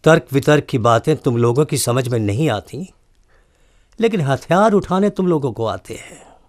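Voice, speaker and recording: An actor playing an earnest, reproachful college principal in a radio drama, questioning emphatically with deliberate pauses. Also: male voice, medium pitch, animated, close to an everyday voice. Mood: anguished reproach.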